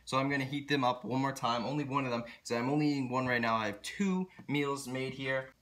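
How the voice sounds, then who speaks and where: A young adult man with a bright, forceful voice in a middle register. He chats casually and earnestly to his followers, like an enthusiastic vlogger. The sound is that of a camera or phone close by in a home kitchen. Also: medium pitch, fairly quick. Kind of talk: casual vlog talk to viewers.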